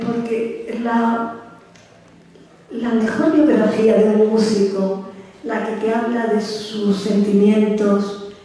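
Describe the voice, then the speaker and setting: A woman's voice: mid-range voice, mellow. A woman from Spain with a Spanish accent, giving an anecdotal talk to a live audience and acting out the dialogue in her stories. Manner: chatty storyteller acting out quoted dialogue. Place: large hall. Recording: echoey hall from far off.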